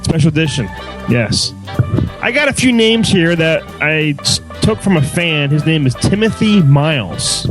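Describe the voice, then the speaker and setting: A man with a fairly high voice hosts a joking podcast, taking his time over the words. His delivery is goofy and crude, and music plays clearly behind him. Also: sing-song, measured pace. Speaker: podcast host introducing the show. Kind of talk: casual joking panel chat.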